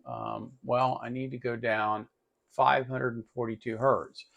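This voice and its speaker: A man's voice: mid-range voice, level tone, unhurried, creaky, fairly bright tone, dull audio. A man hosting a casual hands-on electronics tutorial, talking informally and thinking aloud as he works. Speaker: host of an electronics tutorial channel.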